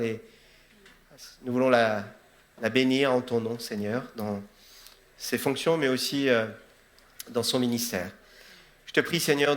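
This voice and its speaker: Pastor guy praying out loud in church, halting, lots of ums, bright voice. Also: medium pitch. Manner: hesitant prayer with many fillers. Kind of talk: casual chat, then spoken prayer.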